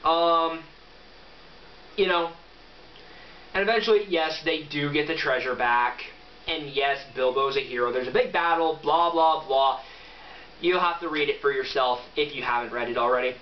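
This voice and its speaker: A man's voice: a little high, normal speed, pauses often. A man giving an amateur book review in a casual, rambling, irreverent way, correcting himself as he goes. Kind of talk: casual rambling review with some reading aloud. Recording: muffled, noisy, roomy, distant mic.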